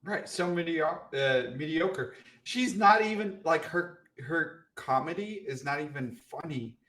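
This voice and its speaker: Guy from the uae, kinda high voice, excitable sweary podcast banter. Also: measured pace. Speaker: podcast co-host. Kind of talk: casual comedic banter.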